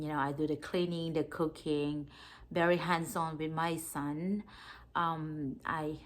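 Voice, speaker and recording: A woman in her thirties from the Philippines, chatting casually to camera in a rambling way, with many fillers and restarts. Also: low voice. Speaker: vlogger.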